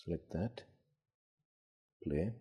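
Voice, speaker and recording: A man's voice: low-pitched, slow, mellow, slightly rough. A man hosting a casual gadget demonstration, thinking aloud in a hesitant, unscripted way as he tests things. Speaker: gadget collector and video host.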